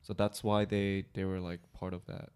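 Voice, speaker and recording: A man's voice: low-pitched, level tone, normal speed, a little mellow, clean tone. A man co-hosting a podcast, chatting casually and asking follow-up questions. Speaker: podcast co-host. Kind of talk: casual chat.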